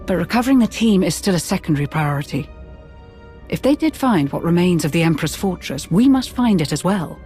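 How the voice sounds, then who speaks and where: A man's voice: high voice, expressive, a little fast, a little mellow, faint music behind. A man acting an earnest military aide in a video game, speaking in a formal, urgent way. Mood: urgent, concerned. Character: earnest male military aide giving mission briefings.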